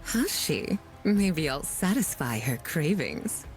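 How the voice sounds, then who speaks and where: A cruel, seductive demoness from a video game. She purrs slowly and teasingly in a fairly low female voice. Music plays behind her. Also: sing-song, heavily acted, low-quality recording. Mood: flirtatious. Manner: sultry, purring, drawn-out delivery. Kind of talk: video game character voice acting.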